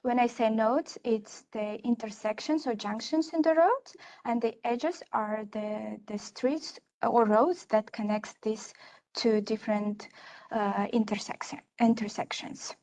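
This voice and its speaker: A woman's voice: fairly high pitch, measured pace, a little mellow. A young woman gives an academic conference talk on her research, speaking hesitantly with many fillers and restarts. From Kazakhstan. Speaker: research assistant presenting her research.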